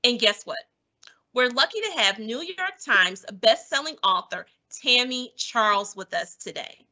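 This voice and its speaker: A woman's voice: fairly high pitch, expressive, a little slow, bright, forceful tone. A woman in her thirties hosting an online event with an upbeat scripted introduction. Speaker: event host.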